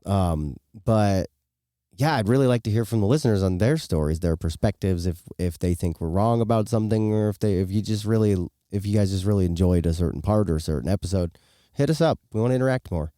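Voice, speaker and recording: Low, mellow male podcast co-host. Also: brisk, no room sound, clean studio sound. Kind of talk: casual chat.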